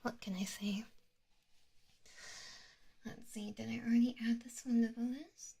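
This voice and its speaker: A young woman ASMR creator whispering softly to her viewers, relaxed and thinking aloud. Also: fairly high pitch, measured pace, vocal fry, breathy edge. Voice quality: soft whisper.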